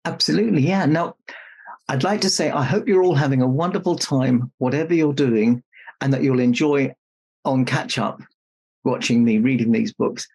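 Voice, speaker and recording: A man's voice: mid-range voice, moderate pace, gravelly, darkish tone, slightly rough, rough recording. A man playing Santa Claus, a jolly old man reading a children's storybook aloud with jokey, mock-indignant asides. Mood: cheerful, chatty.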